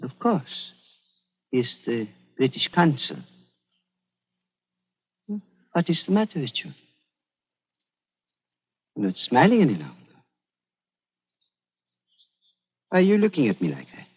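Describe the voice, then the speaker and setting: An actor playing a scheming older German doctor who stammers and dodges, with a German accent, in a 1940s radio drama. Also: male voice, medium pitch, wildly swooping pitch, moderate pace, pauses often, breathy edge. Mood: uneasy. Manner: stammering, evasive, panics. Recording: dull 1940s radio broadcast.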